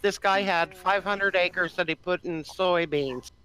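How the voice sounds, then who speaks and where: A woman's voice: on the low side, a little slow, a bit bright, smooth, rough recording. A woman in a casual live-stream chat with a voice that carries, speaking hesitantly with many ums.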